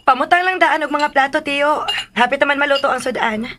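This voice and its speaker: Dutiful, gentle young housemaid for Cebuano-accented radio drama, played by a woman; high register, placating delivery. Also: fairly quick, lightly acted. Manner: patient, placating. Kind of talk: Philippine radio drama acting.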